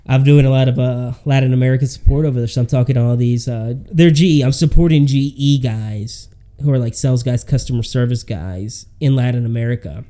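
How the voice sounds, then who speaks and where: Man, medium pitch, very mellow dark tone, casual podcast chat, slangy, rambling. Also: fairly quick, few pauses. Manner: slangy, rambling, says "man" and "dude" often. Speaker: podcast co-host.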